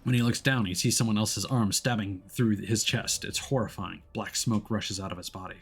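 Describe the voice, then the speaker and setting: A man's voice: on the low side, steady tone, moderate pace, husky. A man streaming a video game, moving between casual commentary and reading story narration aloud.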